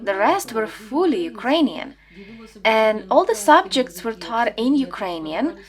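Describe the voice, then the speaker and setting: A woman being interviewed, recalling her past firmly and with emphasis. Her voice sits fairly high and moves a lot, rising and falling as she insists on her points. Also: very animated, a little slow. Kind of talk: personal recollection in an interview.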